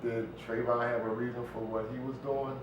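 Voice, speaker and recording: A man's voice: medium pitch, flat delivery, brisk, lo-fi sound. A middle-aged American man talking casually and earnestly as an interview guest, dropping in frequent conversational asides. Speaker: interview guest, stage play writer. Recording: very echoey room, distant mic, noisy.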